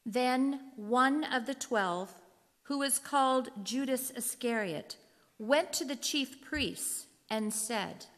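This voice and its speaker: High-voiced woman narrating scripture. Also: slow, fairly bright tone. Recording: reverberant hall.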